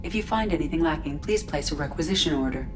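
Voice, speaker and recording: Casting: courteous synthetic starship intelligence in a game. Low female register, fast, composed, procedural. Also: animated, music in the background. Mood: polite, composed. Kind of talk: video game voice acting.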